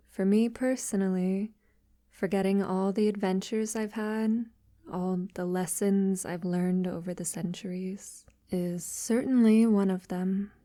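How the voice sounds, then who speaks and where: A woman playing a centuries-old, wealthy vampire lover in an intimate ASMR audio roleplay, soft-spoken and half-whispering with hesitant pauses. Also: mid-range voice, dry recording, studio quality, lightly acted. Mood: fond, wistful.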